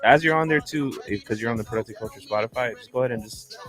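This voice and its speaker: A man's voice: medium pitch, racing. A man chatting casually as a guest on a music show. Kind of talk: casual chat.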